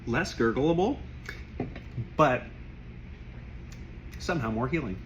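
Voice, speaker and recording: A man losing his voice, who rambles warmly through a casual wine tasting and keeps clearing his throat. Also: medium pitch, lively intonation, takes it slowly, highs cut off, slight background noise. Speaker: wine shop owner tasting wines.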